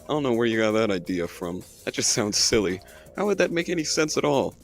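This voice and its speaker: A man voicing an eager, easily baffled young fox with a creaky voice in an amateur cartoon dub. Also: on the low side, very animated, a little fast, soft background music. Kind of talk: amateur comic dub character acting.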